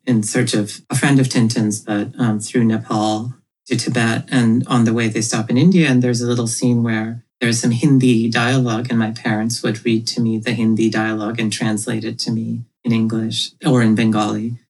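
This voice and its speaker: A woman's voice: a bass voice, flat delivery, normal speed, darkish tone, slightly rough, low-quality recording. A woman comic creator, raised in Ethiopia, talking thoughtfully as a podcast guest and laughing often. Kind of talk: casual podcast interview.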